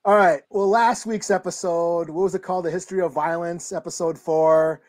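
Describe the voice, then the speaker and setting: A man co-hosts a podcast in a relaxed, halting way. He leans on fillers and restarts as he talks through an episode in easy conversation. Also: on the high side. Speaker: podcast co-host. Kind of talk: casual chat, recapping a show.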